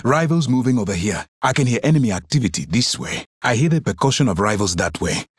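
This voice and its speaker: A refined, eccentric fighter for a video game. The voice is husky and very animated in pitch, theatrical and florid, recorded dry and close. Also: male voice, fast talker, no echo. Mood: refined, alert.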